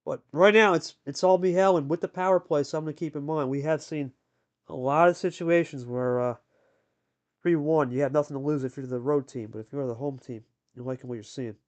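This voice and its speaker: A man's voice: fairly high pitch, fairly quick. A man giving live sports-style commentary on a card game as host, talking colloquially and addressing players like buddies.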